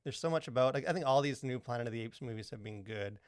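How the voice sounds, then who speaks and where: A man's voice: mid-range voice, fast talker, smooth, no echo, clean studio sound. A man co-hosting a podcast in casual chat, rambling with lots of "like" and "you know". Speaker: podcast co-host.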